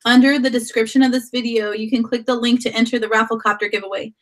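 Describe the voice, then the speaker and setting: A woman's voice: a little high, level tone, quick pace. A woman hosting a live video chat, with a sore throat from a cold, who rambles and often restarts her sentences. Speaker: host.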